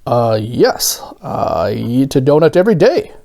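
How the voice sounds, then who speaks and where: A man reads the part of a flustered, ordinary fellow in a children's storybook. He is sheepish and hesitant, stumbling over his words in a soft-edged, mellow voice. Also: mid-range voice, sing-song, measured pace, vocal fry. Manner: hesitant, stammering. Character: sheepish, stammering ordinary man, a doughnut eater.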